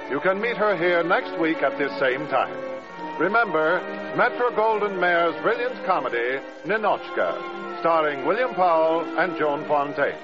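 Old timey american radio announcer guy, highish voice, very animated, music behind, bit muffled. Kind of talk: 1950s radio announcing, scripted.

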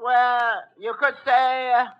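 A man's voice: very high voice, little pitch movement, slow pace, smooth, dull audio. An actor playing a droll, cynical male tavern waiter who makes dry, skeptical wisecracks in a 1940s radio comedy.

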